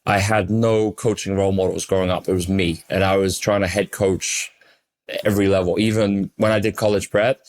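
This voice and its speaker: A man's voice: sits low, fairly even intonation, moderate pace, a little mellow. A young English man, a basketball coach, talking in a casual interview, emphatic and fluent, repeating words for stress. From England.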